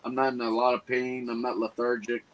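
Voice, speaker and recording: A man's voice: mid-range voice, monotone, fairly quick, poor audio. Congested man from Ontario. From Ontario, Canada. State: congested, losing his voice. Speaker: guest caller.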